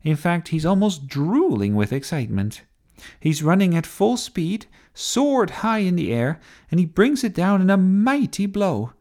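Man voicing an excitable, high-pitched bossy young girl. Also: a little slow, heavily acted. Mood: excited commentary. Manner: theatrical, announcer-like, emphatic. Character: bossy, excitable young girl giving breathless play-by-play commentary. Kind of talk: children's storybook character voice.

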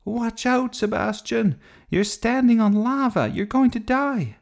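A man's voice: medium pitch, huge pitch swings, a little slow, pitched above the performer's own voice, full character voice. A man voicing a bossy, dramatic little girl at play in a children's storybook, grandly theatrical in manner. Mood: panicked shouting. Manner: grandly theatrical, mispronouncing big words.

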